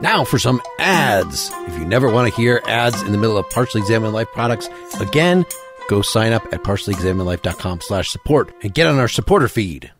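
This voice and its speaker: A man's voice: fairly low pitch, big pitch swings, fairly quick, over music. A man hosting a podcast, giving informal linking narration and announcements.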